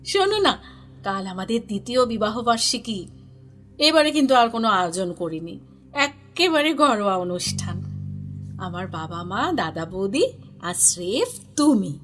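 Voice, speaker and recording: A woman's voice: medium pitch, big pitch swings, normal speed, fairly bright tone, faint music behind. A woman playing an affectionate, chattering young married neighbour in an audio drama, familiar and coaxing. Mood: bubbly, coaxing.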